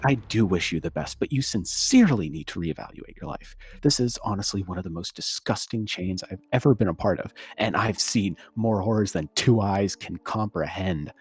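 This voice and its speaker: A man's voice: on the low side, a little mellow, slightly rough. A man playing a pompous, scornful internet commenter in an overwrought comedic dramatic reading. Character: pompous, outraged male internet commenter, disgusted and theatrical.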